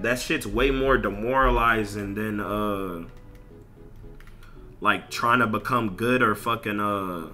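A man's voice: fairly low pitch, pushed and bright. An American man streamer ranting casually in slang with heavy swearing, emphatic and repeating himself. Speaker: streamer and content creator. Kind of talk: casual livestream rant over gameplay.

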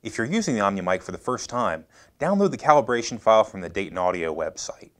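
American man presenter with lively pitch. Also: quick pace. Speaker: product presenter. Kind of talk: scripted product demonstration.

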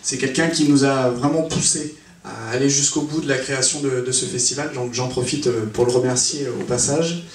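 A man hosting a question-and-answer session on a cinema stage, with a halting, hesitant delivery. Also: medium pitch, steady tone. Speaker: festival presenter and interviewer. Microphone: handheld mic through PA, far camera. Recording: echoey cinema, distant camera mic, faint noise.

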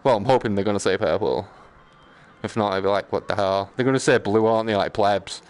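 A man's voice: fairly low pitch, brisk, a little mellow. A man chatting casually on a live game stream, joking in a slangy, sweary way. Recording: clear background noise.

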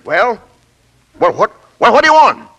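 An actor playing a pompous, sarcastic coffee company president with an American accent, sputtering in 1940s radio comedy style. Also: male voice, sits high, wildly swooping pitch, moderate pace, bright, forceful tone, a little hiss or hum, full character voice, rough recording. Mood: exasperated. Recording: vintage 1940s radio broadcast.

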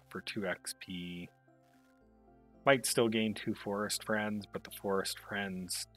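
A man giving casual, halting commentary as a developer playing his own game, thinking aloud as he goes. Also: sits low, fairly bright tone. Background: faint music.

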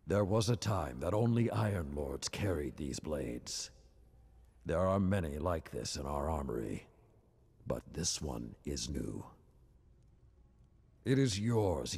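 Very deep, gravelly warrior lord. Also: male voice, a little mellow, heavily acted. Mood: solemn, ceremonial. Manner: grave, deliberate, weighty. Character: solemn, battle-worn male warrior lord, last of his order. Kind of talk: dramatic video game cutscene acting.

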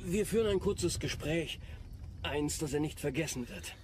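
Casting: male actor as impatient, menacing man, slightly high voice, brusque, radio crime play, vehicle noise behind. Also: animated, normal speed, close to an everyday voice. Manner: brusque, commanding. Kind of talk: German crime radio drama acting. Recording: ambient noise with vehicle sound.

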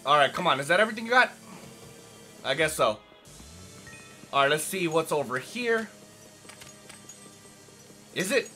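A young man with a hoarse, raspy voice chatting casually through a let's play, rambling with lots of fillers. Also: a little high, lively intonation, unhurried, long pauses, bright, forceful tone, soft background music. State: hoarse from yelling. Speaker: gaming channel host.

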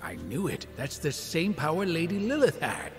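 A man voicing an elderly, devoted fiend retainer in a video game, theatrical, formal and deliberate. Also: a little high, huge pitch swings, vocal fry, full character voice. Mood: grave. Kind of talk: video game cutscene acting. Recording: long hall reverb, music underneath.

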